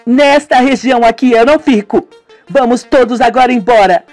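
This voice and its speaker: A woman's voice: fairly high pitch, moderate pace, a little mellow, faint music behind, voice pushed up, natural voice. A man voicing a determined young woman tourist who talks urgently and insistently.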